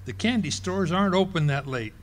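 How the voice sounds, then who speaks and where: Brief: male actor as a flustered boastful husband, folksy stammer, high and animated. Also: fairly quick. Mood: scheming. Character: bumbling boastful husband, middle-aged, fibbing. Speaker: amateur radio play actor. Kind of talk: live stage radio play reading. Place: ballroom stage before an audience.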